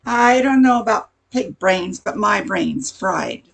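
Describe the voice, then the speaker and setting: A woman playing a worn-out diner waitress in an amateur comic radio sketch, blunt, sarcastic and gravelly. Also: mid-range voice, animated, takes it slowly, exaggerated voice. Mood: weary, sarcastic. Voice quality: gravelly, husky.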